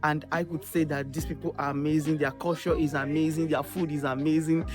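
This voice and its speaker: A woman's voice: sits low, little pitch movement, fairly quick, music in the background. A woman from Nigeria, a graduate student, speaking with gushing enthusiasm and many fillers. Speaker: master's student interviewee. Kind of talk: casual on-camera answers.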